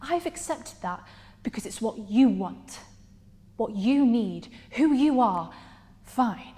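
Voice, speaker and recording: A woman performing a dramatic stage monologue as a wounded, defiant young woman, deliberate and emphatically articulated with weighted pauses. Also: fairly high pitch, expressive, mellow, husky, pitched above the performer's own voice, heavily acted. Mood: hurt defiance.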